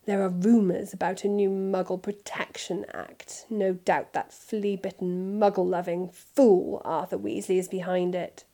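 A woman's voice: mid-range voice, flat delivery, a little slow, few pauses, mellow. Woman reading a cold, clipped aristocrat father, disdainful.

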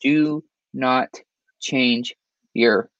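A man's voice: medium pitch, fairly even intonation, very slow, fairly bright tone, no room sound. A man co-hosting a sports podcast, talking emphatically and teasing his co-host in a casual sign-off. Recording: dry, dull lo-fi mic.

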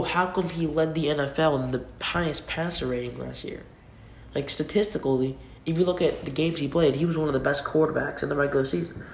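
A man's voice: mid-range voice, vocal fry. A young man with a cold hosting a casual solo sports podcast, rambling and restarting sentences as he makes his picks. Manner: rambling, restarts often, informal. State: has a cold. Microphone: computer mic. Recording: echoey room with faint noise.

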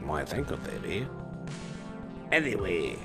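A man's voice: deep voice, huge pitch swings, a little slow, creaky, loud music behind the voice. A man co-hosting a podcast, rambling casually through the sign-off with lots of fillers and restarts. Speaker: podcast co-host.

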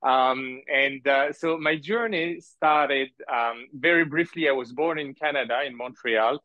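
A man who grew up in France, a podcast guest telling his story casually in a halting, rambling way full of asides. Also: mid-range voice, dry recording. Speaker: podcast guest, business owner. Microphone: remote call. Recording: dull lo-fi audio, close and dry.

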